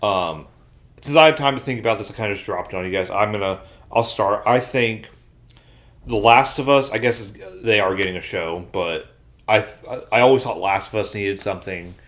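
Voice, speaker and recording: Male American podcast host, lower register, casual conversational delivery. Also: moderate pace, fairly bright tone. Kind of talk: casual panel chat.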